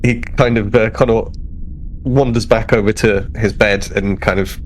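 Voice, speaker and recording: A man takes part in a tabletop role-playing game with an understated, tentative manner. His voice is fairly low, and there is light noise on the recording. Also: fairly even intonation, measured pace. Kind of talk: casual in-character role-play and narration. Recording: noisy home recording.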